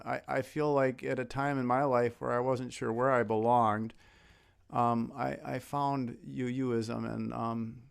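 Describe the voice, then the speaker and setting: Smooth American man with gravelly edges. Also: medium pitch. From Minnesota, United States. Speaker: guest singer-songwriter. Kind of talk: informal, personal talk between songs.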